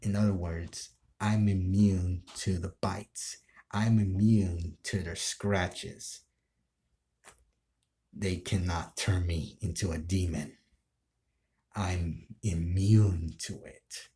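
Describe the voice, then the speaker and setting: Man playing a slow, menacing low-voiced anime villain. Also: fairly even intonation, warm tone. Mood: ominous, boastful. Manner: slow, deliberate, ominous taunting. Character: menacing male villain, neither human nor demon, craving power. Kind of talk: anime villain role play.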